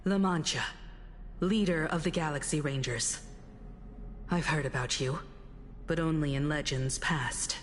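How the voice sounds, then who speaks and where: A woman voicing a composed, probing adventurer in a video game, speaking formally and deliberately, with long reverb on the voice. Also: deep voice, slight background noise. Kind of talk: dramatic video game voice acting.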